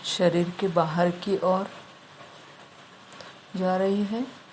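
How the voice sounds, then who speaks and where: A woman leading a guided meditation, giving instructions in short, measured phrases with long pauses between them. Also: lowish voice, fairly even intonation, a little mellow, slight background noise, poor audio.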